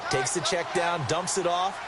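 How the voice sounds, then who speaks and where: A man's voice: high-pitched, moderate pace, very noisy, close to an everyday voice. A man playing a folksy, enthusiastic football color analyst in a video game, explaining in a conversational, emphatic way. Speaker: color commentator. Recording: loud crowd and music behind. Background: crowd and music.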